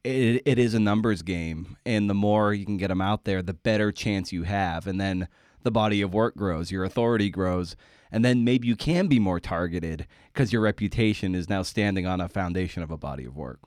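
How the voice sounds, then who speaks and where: A male podcast host speaks in a conversational interview style. His voice sits on the low side and is recorded very dry, with no room sound. He feels his way through sentences, rephrasing as he goes. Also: moderate pace, a little mellow, no echo.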